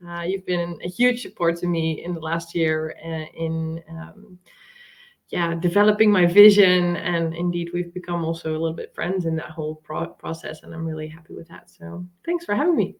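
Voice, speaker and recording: A woman's voice: on the low side, steady tone, moderate pace, gravelly. A middle-aged woman with a Dutch accent, a native Dutch speaker, telling her story casually as an interview guest. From Netherlands. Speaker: interview guest, venture capital coach and founder.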